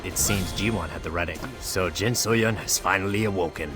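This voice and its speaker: An actor playing a solemn mystic mentor in a fantasy video game, speaking in a measured, formal way. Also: male voice, deep voice, natural voice. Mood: solemn, grave. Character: solemn male mystic mentor guiding a warrior. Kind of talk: scripted game dialogue. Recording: loud music behind. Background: game music.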